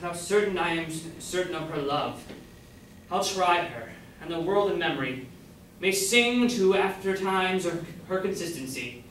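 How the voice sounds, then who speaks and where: Young man acting a high-voiced brooding lover, echoey. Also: animated, normal speed, fairly bright tone. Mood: ardent. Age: young adult. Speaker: student actor. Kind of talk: amateur staged reading of Jacobean comedy, verse. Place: college room, staged reading. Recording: very echoey room, far off, faint noise.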